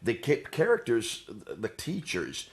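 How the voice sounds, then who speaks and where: A man's voice: medium pitch, huge pitch swings, slow pace. An American man hosting a conversational discussion, leading his guest with rhetorical tag questions. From United States.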